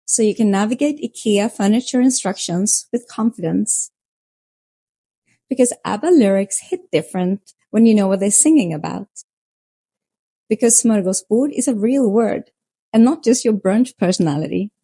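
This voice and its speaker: A woman narrating a language lesson in a slow, measured way. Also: medium pitch, animated, dark tone, breathy edge, no room sound.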